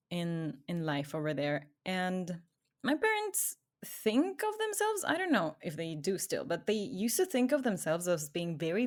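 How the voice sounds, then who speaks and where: A woman from Ecuador, co-hosting a podcast, telling her own story in a casual, chatty way and laughing often. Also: on the low side. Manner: chatty, laughs often, trails off quietly at phrase ends. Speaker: podcast co-host.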